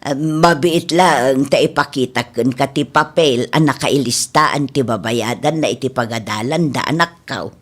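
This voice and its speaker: Lady doing a worn-out middle-aged mother, placating, voice pitched down, radio drama. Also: normal speed. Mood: weary, tender. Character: weary, patient middle-aged mother, scolding yet tender. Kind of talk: amateur radio drama acting.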